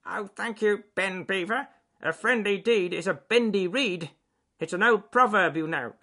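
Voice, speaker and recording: A man's voice: sits high, measured pace, bright, forceful tone, voice pushed up, heavily acted. A man voicing a chatty, frightened lame duck who quacks and groans as he talks, in a children's story. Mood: grateful. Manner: quacking and groaning between phrases.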